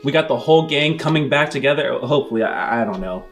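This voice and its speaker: A man's voice: mid-range voice, animated, fast talker. Casual male podcast host over music. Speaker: host and game master.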